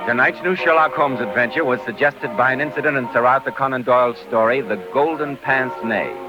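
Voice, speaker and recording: A man's voice: medium pitch, brisk. A man announcing in the scripted style of 1940s network radio. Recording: dull vintage radio recording, music behind.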